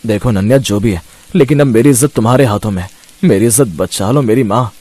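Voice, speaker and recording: A man's voice: mid-range voice, brisk, dark tone, no room sound, close to an everyday voice. A man voicing a meek young husband who pleads and explains himself in a cartoon story. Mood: pleading.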